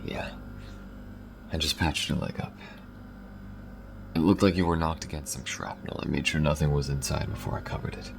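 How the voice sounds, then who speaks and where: A man playing a gruff, devoted alien smuggler in an intimate audio roleplay, speaking haltingly, tenderly and often hushed, with a deep gravelly voice. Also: steady tone, noisy background. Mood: relieved, determined.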